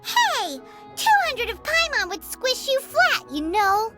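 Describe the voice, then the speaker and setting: A woman voicing a tiny, childlike floating fairy companion in a video game, chatty, excitable and exclamatory. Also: very high voice, slow, loud music behind the voice, heavily acted. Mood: incredulous. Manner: refers to self in third person, exclamatory.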